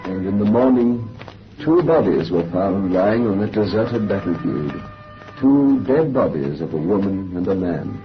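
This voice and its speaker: A man's voice: low-pitched, expressive, a little slow, soft background music. A man playing a grave storyteller in a vintage radio horror drama. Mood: grave, haunted. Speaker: story narrator. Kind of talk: 1930s radio drama acting. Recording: muffled, hissy old radio recording.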